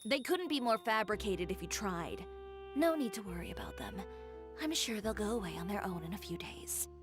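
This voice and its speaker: An actress playing a sharp-tongued, proud young fashion designer in a video game, sardonic and crisply commanding. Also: female voice, mid-range voice, animated, moderate pace, natural voice. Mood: brisk, composed. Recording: music behind the voice.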